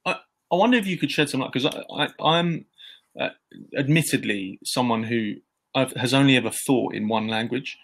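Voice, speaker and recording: A man in his thirties hosting an online event over a video call from a bright room at home, friendly and relaxed, with plenty of ums. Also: mid-range voice, moderate pace. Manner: friendly, relaxed, filler-heavy. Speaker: event host. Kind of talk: moderating a video call session.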